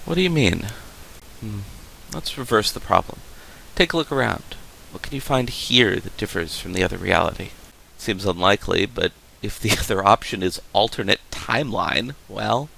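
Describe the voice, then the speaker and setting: Gravelly, bright-voiced man, a measured therapist. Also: on the low side, expressive, slight background noise, full character voice, rough recording. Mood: probing. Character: measured, probing male therapist doctor in science fiction. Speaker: video game voice actor. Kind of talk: video game dialogue.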